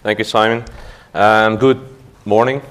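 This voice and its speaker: A man's voice: on the low side, steady tone, unhurried, noisy background. A man from Belgium, a fund manager giving a business talk to an audience, hesitant with frequent fillers and restarts.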